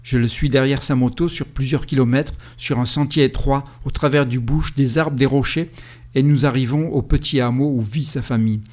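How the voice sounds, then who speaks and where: Calm man narrating his travels. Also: medium pitch, normal speed. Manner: calm, reflective storytelling. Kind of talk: first-person travel narration. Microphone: voice-over recorded separately.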